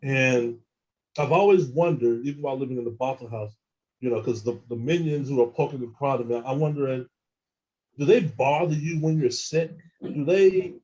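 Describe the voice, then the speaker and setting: Guy guest telling his story, stops and repeats himself a lot, dark voice, kinda muffled lo-fi audio. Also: medium pitch. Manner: halting, repeats words and restarts. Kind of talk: casual first-person account.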